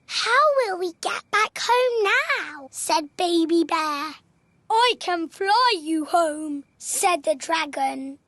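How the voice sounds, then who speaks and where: A woman's voice: very high-pitched, expressive, slow, a bit bright, no room sound, exaggerated voice. A woman voicing a cheerful, bossy little girl pig in a children's cartoon, telling stories expressively with a British accent. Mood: storytelling. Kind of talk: children's cartoon dialogue and bedtime storytelling. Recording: music behind the voice. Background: children's music.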